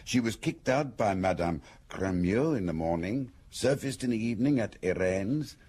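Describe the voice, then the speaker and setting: An actor playing a detective's shrewd male confidant in a radio drama, husky and deliberate, asking probing questions. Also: on the low side, animated, darkish tone. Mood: probing, thoughtful.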